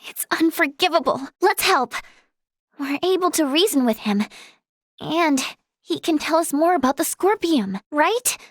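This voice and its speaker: A woman's voice: high voice, lively intonation, measured pace, no echo, studio quality. A woman playing an earnest, caring young female healer and researcher in a video game, with a hesitant, exclamatory way of talking. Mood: curious, earnest. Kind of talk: English video game voice acting.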